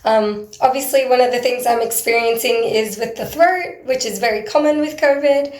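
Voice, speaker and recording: A woman with a hoarse, croaky voice, talking earnestly and hesitantly in a personal video diary, with frequent pauses. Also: a little high, darkish tone. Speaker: vlogger. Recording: roomy echo.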